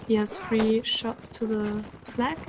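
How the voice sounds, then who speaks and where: A woman commentating a live online game match, chatty and quick to laugh, sounding a little sick. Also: a little high, noisy background. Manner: chatty, laughs often, uses "like" a lot. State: sick, slightly rough voice. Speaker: esports commentator.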